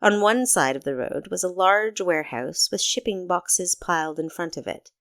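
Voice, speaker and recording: A woman reading a story aloud as narrator in an expressive, scripted storytelling manner. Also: on the low side, moderate pace, no room sound, studio quality. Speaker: author narrating own audiobook.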